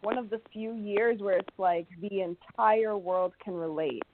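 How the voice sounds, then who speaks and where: A woman in her twenties from Canada, a guest chatting in a lively, easy way on a radio talk. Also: medium pitch, unhurried. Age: 20s. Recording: tinny phone line with faint hiss.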